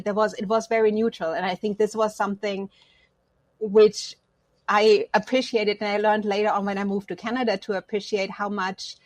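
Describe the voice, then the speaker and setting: A woman's voice: medium pitch, no echo. A woman from Germany, not an English speaker, speaking reflectively about personal matters as an interview guest. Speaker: podcast guest, counselor.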